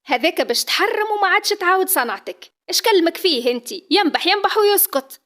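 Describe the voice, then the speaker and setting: A woman's voice: high-pitched, fast talker, a bit bright. A woman with a Tunisian accent voicing a bustling older mother who fusses and scolds her daughter. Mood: shrill.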